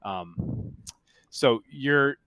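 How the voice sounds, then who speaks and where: A man running a tabletop game, narrating off the cuff in a halting, conversational, explanatory way. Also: medium pitch, expressive, a bit bright, rough recording. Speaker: game master.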